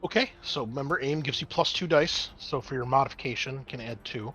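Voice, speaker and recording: Bright-voiced man running a tabletop game. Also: mid-range voice, quick pace, faint music behind. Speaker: game master.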